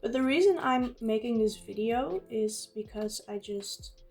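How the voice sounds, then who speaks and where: Unhurried woman with a Dutch accent. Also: on the high side, lively intonation, a little mellow, soft background music. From Netherlands. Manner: chatty, informal. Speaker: craft vlogger. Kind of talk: casual narration while journaling.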